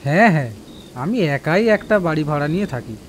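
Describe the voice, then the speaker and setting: Male actor as uneasy office worker, chatty and polite, higher voice, very lively intonation, horror audio play, faint rain. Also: brisk, a little hiss or hum, lightly acted. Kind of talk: audio horror drama acting.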